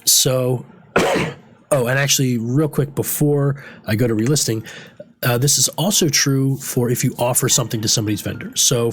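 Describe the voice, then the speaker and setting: A man with a stuffy, congested voice, casually talking through a screen-recorded game tutorial in a rambling, offhand way, swearing now and then. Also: medium pitch. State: stuffy from a cold. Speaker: game vlogger.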